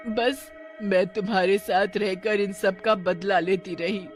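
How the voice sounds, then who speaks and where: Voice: medium pitch, fairly even intonation, slow, faint music behind, natural voice. A man voicing a vengeful witch mother who runs a food stall, in a theatrical, commanding cartoon horror style. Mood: bitter. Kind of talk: Hindi animated horror story dialogue.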